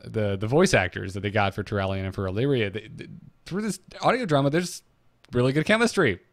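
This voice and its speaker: A man's voice: fairly low pitch, lively intonation, a little fast, no echo. Casual man podcaster, dry studio sound. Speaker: podcast co-host. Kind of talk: casual, unscripted fan discussion.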